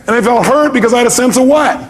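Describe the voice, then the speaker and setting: A man's voice: very high-pitched, fast talker, background noise. A man speaking as a motivational seminar leader, emphatic, putting rhetorical questions to his listeners, repeating key points and acting out reactions.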